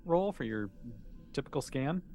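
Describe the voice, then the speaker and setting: A man's voice: mid-range voice, animated, moderate pace, mellow, faint music behind. A man running a tabletop role-playing game as game master, speaking casually and informally.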